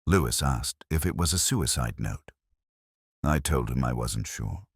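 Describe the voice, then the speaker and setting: A man with an extremely deep voice narrates a detective story in the first person. His delivery is measured and reflective, with a literary turn and lively movement in pitch. The sound is dry, with very little room around it. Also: gravelly, breathy edge, no room sound.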